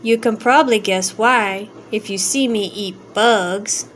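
A woman's voice: mid-range voice, unhurried, fairly bright tone, slight background noise, natural voice. A woman voicing a mild-mannered, frank poodle puppy in a storybook, matter-of-fact and polite.

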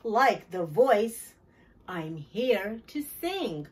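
A middle-aged woman hosting a children's storytime, reading a picture book aloud with deliberate, clear phrasing for young listeners, picked up from a little distance. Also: mid-range voice, very animated. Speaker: children's storytime host and teacher. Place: small decorated classroom-style storytime corner, indoors.

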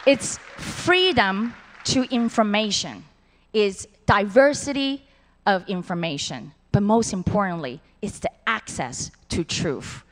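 A middle-aged woman speaks earnestly in plain, simple phrases. Her voice sits at a middle pitch. She holds a handheld mic on a large auditorium stage, and a long hall reverb trails her words. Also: expressive, slow pace, a bit bright, a faint crowd. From Hong Kong and Taiwan. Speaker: city supervisor. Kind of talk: award acceptance speech.

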